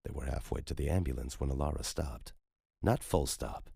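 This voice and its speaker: A man with an extremely deep voice narrates horror fiction. His tone is husky and rough, and the sound is very dry and close, like a scripted audiobook. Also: normal speed, dark tone, dry recording.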